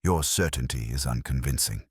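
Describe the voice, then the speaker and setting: A man voicing a grim, shrewd detective in an audiobook, speaking in a grave, incisive, deliberate manner. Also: extremely low pitch, husky, dry recording, studio quality, pitched below the performer's own voice. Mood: cold, pointed. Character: grim, shrewd male detective, coolly commanding. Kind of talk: audiobook character dialogue.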